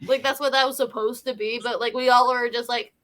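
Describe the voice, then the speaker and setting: A woman with a high, bright voice chats informally about a film. Her talk is youthful and slangy, loosely strung together with frequent fillers. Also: fairly even intonation, fairly quick. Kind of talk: casual film chat.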